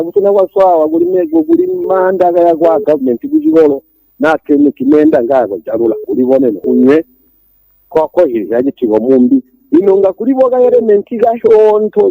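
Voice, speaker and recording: Gravelly-voiced Zambian man calling in. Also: high voice, wildly swooping pitch, fairly quick, warm tone, dry recording, poor audio. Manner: switches between English and Tonga, urgent complaints. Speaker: caller. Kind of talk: phone-in call on politics. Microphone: phone line.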